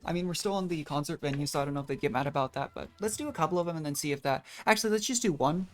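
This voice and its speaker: A casual streamer with a mid-pitched voice thinks aloud while playing. The talk is rambling and self-deprecating, with video game music behind it. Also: rapid-fire. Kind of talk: casual rambling gameplay commentary.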